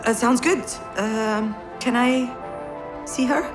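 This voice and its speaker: A woman's voice: a little high, steady tone, slow, music in the background. A woman playing a troubled, sardonic screenwriter in a visual novel game, with a hesitant, wry and emotionally strained delivery. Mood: shaken, hesitant. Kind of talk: naturalistic visual novel voice acting, introspective narration and dialogue.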